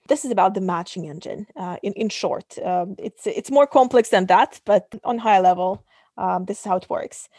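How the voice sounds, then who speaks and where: Woman from Kazakhstan, young adult, interview guest, explains step by step, lots of fillers, dry close sound, mid pitch. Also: mellow, no room sound. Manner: explanatory, many ums and uhs. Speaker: startup CEO guest. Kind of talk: interview answers.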